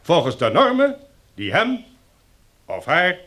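An actor playing a stern, pompous community leader who lectures in formal, measured oratory in a radio drama. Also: male voice, high voice, wildly swooping pitch, sharp tone, heavily acted. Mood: cool, unbending. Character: stern, pompous male leader lecturing survivors on new rules.

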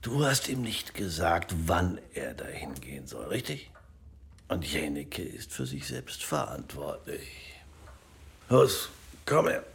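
Generous police buddy, husky gravelly voice, lively swings in pitch, comradely, insistent, radio play. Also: male voice, slow, exaggerated voice. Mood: firm, consoling. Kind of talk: radio drama acting.

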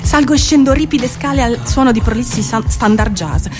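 A woman's voice: a little high, normal speed. Woman performing a literary reading over music. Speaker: performer reading prose poems. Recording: music behind the voice.

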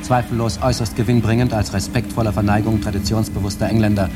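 Actor as a formal male consultant over loud music. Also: fairly low pitch, little pitch movement, fast talker, close to an everyday voice. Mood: earnest, formal. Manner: jargon-laden, formal. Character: earnest male marketing consultant presenting a strategy paper. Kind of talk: formal scripted report in radio drama.

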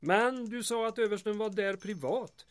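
A man's voice: high-pitched, natural voice. A man playing a small-town mayor in an audio drama, dryly teasing under polite, deferential wording. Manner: dry, deferential wording laced with sarcasm.

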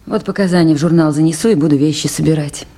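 A woman's voice: sits low, quick pace, mellow. An actress playing a composed, dutiful young woman river-station worker in a radio play. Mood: calm, firm. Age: young adult. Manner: composed, earnest. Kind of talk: 1980s Soviet radio play acting.

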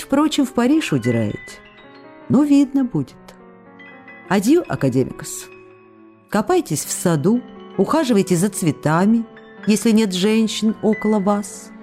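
Woman playing a mellow-voiced affectionate actress, wistful and teasing. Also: medium pitch, lightly acted. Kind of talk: Russian radio drama, read-aloud letters. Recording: music behind the voice.

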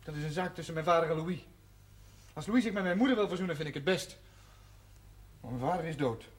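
A man's voice: fairly high pitch, quick pace, natural voice. An actor playing a defiant, resentful young working man in a television drama, blunt and combative. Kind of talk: 1970s television drama acting.

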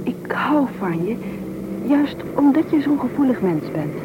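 An actress playing a tender, encouraging fiancée in an old Dutch radio play, earnest and affectionate. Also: female voice, high-pitched, very animated, measured pace. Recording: dull, noisy old radio recording.

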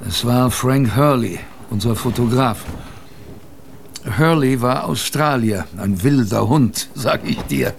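A man's voice: medium pitch, takes it slowly, creaky, a little hiss or hum. An actor playing a former ship's kitchen boy who reminisces in a colloquial, confiding way as a radio drama narrator. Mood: reminiscing. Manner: colloquial, vivid, confiding storytelling.

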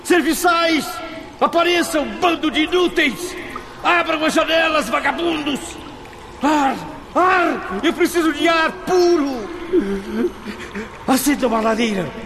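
An actor in a radio drama playing an anguished blinded man trapped in torment, theatrical, halting and desperate. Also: very high-pitched, noisy background, exaggerated voice. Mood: frantic, demanding. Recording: dramatic music under the voice.

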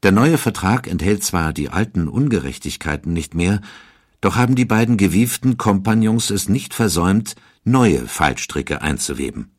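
A man narrating a scripted historical documentary in a measured, even storytelling manner. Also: low voice, no echo, clean studio sound.

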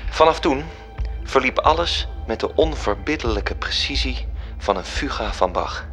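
An actor playing a confident, knowing celestial storyteller, narrating a radio drama in literary style. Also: male voice, medium pitch, animated, moderate pace, a bit bright, soft background music, natural voice. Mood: assured, knowing.

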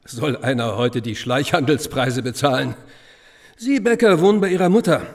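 Actor as sardonic, gossipy schoolteacher. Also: male voice, medium pitch, moderate pace, lightly acted. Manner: ironic, chatty, conspiratorial. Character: gossipy, sardonic schoolteacher, cynical confirmed bachelor. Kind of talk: conversational radio drama acting.

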